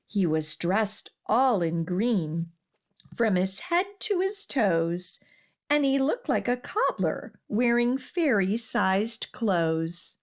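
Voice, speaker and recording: A woman hosting a children's storytime and reading a picture book aloud in an expressive, deliberate way. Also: medium pitch. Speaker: children's librarian and storytime host.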